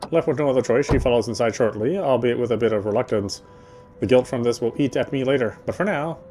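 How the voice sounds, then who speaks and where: A man's voice: fairly low pitch, a little mellow. A man reading a visual novel aloud as dramatic first-person narration, now and then stumbling over the text. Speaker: player reading a game aloud.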